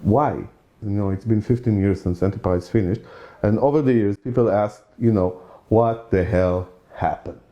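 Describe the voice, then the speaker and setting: A man's voice: lowish voice, normal speed, mellow, highs cut off. A man from Israel, around forty, with an Israeli accent, hosting his own channel and talking in a loose, chatty way, with lots of "you know" and repeated points for emphasis. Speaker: channel host. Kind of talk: casual opinion monologue.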